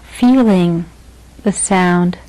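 A woman teacher leading a guided meditation gently, in short phrases with long pauses between them. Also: lowish voice, slight background noise, poor audio.

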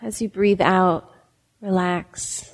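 Female meditation teacher, slow gentle guidance with long pauses, echoing hall acoustic. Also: on the low side, fairly even intonation. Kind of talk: guided meditation. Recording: reverberant hall.

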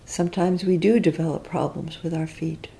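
A woman teacher leading a guided body scan meditation, speaking in gentle, reflective, unhurried instructions with long pauses. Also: low voice, steady tone, gravelly, slight background noise.

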